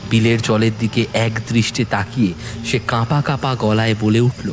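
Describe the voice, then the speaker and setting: A man's voice: fairly low pitch, flat delivery, normal speed, over music. A man narrating a scripted horror audio story in a suspenseful, theatrical way.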